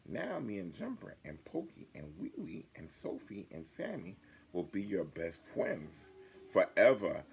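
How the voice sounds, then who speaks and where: An earnest, affectionate little storybook animal, acted out by an older man. The delivery is childlike and tender in manner, with a gravelly tone and wide swings in pitch. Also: sing-song, a little slow, a little mellow, slight background noise, exaggerated voice.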